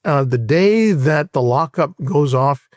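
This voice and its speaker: A man's voice: a little high, lively intonation, measured pace, dry recording. Older American man, dry close recording. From Hawaii, United States. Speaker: interview guest, private equity veteran. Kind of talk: conversational career recollection in an interview.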